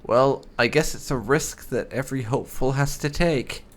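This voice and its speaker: A man hosting a roleplay video game playthrough, speaking in a measured, theatrical, drawn-out way. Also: medium pitch. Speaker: gaming video host. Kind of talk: roleplay video game commentary, voicing own character's lines.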